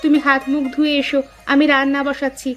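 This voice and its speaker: High-pitched village wife acted by a woman. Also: completely flat delivery, brisk, close to an everyday voice. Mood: calm. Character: homely village wife and mother. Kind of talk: cartoon moral story dialogue. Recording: music under the voice.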